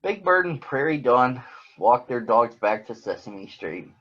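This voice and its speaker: A man reads a picture book aloud for children. His voice sits at a medium pitch. The recording is a bit dull and lo-fi. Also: sing-song, a little slow. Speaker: children's story reader.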